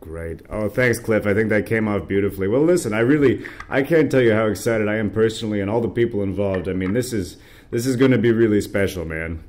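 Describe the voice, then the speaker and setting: An American man conducting a casual interview, effusive like a fan, with hesitant, halting phrasing. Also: on the low side, a little mellow.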